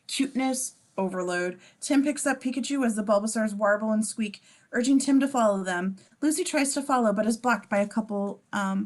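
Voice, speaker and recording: A woman reads stage directions as scripted narration. Her voice sits at a medium pitch. She describes the action between the actors' lines in a table read. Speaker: scene description reader.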